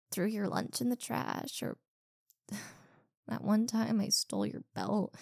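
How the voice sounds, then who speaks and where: A young woman playing a rude, insecure popular college girl in a one-sided roleplay, sharp-tongued and defensive, stumbling over her words. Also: medium pitch, creaky, dry recording, clean studio sound, full character voice. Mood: soft, sheepish. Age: young adult.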